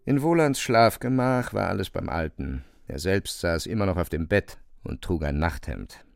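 A man's voice: sits low, expressive, normal speed, creaky, faint music behind. A man narrating a literary radio play from a script as its storyteller.

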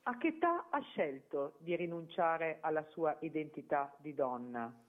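A man's voice: a little high, expressive, measured pace. A man playing an interviewer, asking polite, probing questions. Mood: curious. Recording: tinny phone-like sound.

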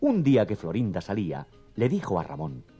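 A man's voice: fairly low pitch, wildly swooping pitch, brisk, warm tone, breathy edge, faint music behind. A man narrating a scripted children's story as the storyteller.

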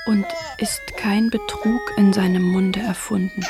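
An actress playing a remorseful young mother in a radio drama, halting and pleading as she reads scripture and prays. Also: medium pitch, steady tone, over music, close to an everyday voice. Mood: anguished, pleading. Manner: halting, pleading, sometimes whispering.

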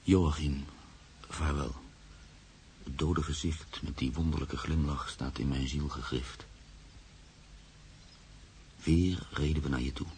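A very deep male voice with heavy gravel, as a grave, reflective narrator-protagonist in a radio drama. He speaks solemnly and with measure, over faint background noise. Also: fairly even intonation, slow, long pauses, a little mellow, exaggerated voice. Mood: grave, tender. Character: Freek, reflective grave man, narrator-protagonist moved by loss. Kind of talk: literary radio drama monologue.

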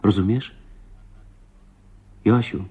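An actor playing an ardent, proud man in love with his wife, in impassioned, halting 1980s Polish radio drama style. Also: on the low side, steady tone, darkish tone, breathy edge, heavily acted. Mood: fervent confession. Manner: impassioned, emotional, halting delivery. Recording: dull lo-fi radio play recording.

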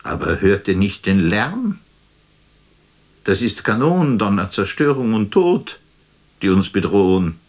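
Slow, low-voiced, anguished stage actor. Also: male voice, no echo. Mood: impassioned. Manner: declamatory, poetic, swinging from hushed murmur to impassioned outcry. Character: anguished, self-doubting male stage actor, melancholy and theatrical. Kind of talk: theatrical radio drama monologue.

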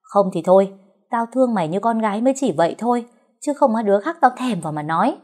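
A woman voicing a shrill, bossy old woman who schemes and coaxes a younger woman into witchcraft. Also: sing-song, fairly quick. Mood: coaxing. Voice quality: shrill, high. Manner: bossy, coaxing and threatening.

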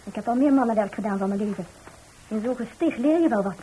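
A woman's voice: fairly high pitch, quick pace, mellow, a little hiss or hum, lightly acted, rough recording. A woman acting a tough, stubborn working-class young woman in a radio drama, speaking bluntly and colloquially. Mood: cheerful, bragging. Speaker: radio actor.

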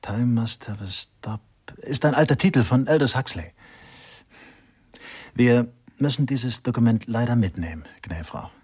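An actor playing a drily pompous, bookish assistant detective in a German radio crime comedy, musing in know-it-all asides. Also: male voice, medium pitch, normal speed, darkish tone, natural voice. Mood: pompous, dry. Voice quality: husky. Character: bookish, opinionated male police sidekick, drily pompous.

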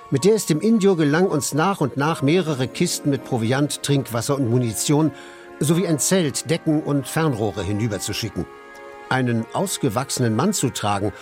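A man's voice: medium pitch, darkish tone, natural voice. An actor playing an expedition reporter who recounts his adventures in an articulate, earnest storytelling style for a radio drama. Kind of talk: scripted radio drama narration.

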